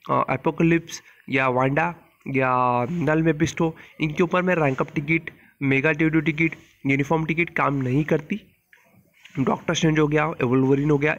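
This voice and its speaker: A man with an Indian accent, hoarse from a sore throat, giving a casual, blunt voice-over guide to a mobile game. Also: on the high side, dull audio. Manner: informal, blunt, mixes English terms into speech. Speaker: mobile game channel host.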